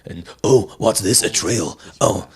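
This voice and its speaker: A man doing a parody of a gruff, bumbling superhero detective, husky and halting. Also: low-pitched, full character voice. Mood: muttering, puzzled. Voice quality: husky, gravelly. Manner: halting, muttering.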